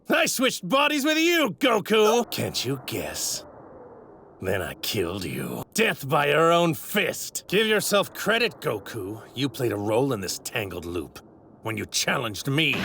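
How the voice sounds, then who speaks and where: A man's voice: medium pitch, sharp tone, exaggerated voice. A man voicing an arrogant, godlike villain in an anime dub, pompous and taunting, savoring his grand words. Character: arrogant divine villain in a stolen warrior body. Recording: ripped voice track with clear background noise.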